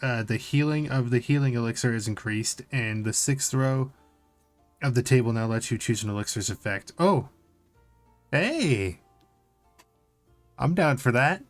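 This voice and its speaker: Male co-host, average pitch, laid-back chat and read-outs of game rules over faint music. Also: lively intonation, measured pace, pauses often. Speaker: podcast co-host. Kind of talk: casual discussion and reading of game rules.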